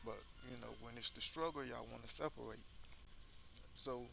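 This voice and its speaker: A man's voice: mid-range voice, normal speed, creaky, faint music behind, low-quality recording. A man hosting a casual commentary show, speaking loosely with plenty of fillers as he sums things up for his viewers. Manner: loose, filler-heavy talk with lots of "you know". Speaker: host.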